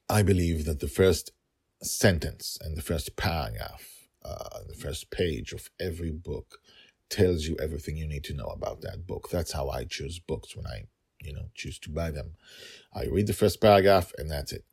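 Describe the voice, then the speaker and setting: A man's voice: extremely low pitch, husky, no room sound, studio quality. A man hosting a podcast as its writer, talking informally in a rambling, emphatic way as he thinks aloud.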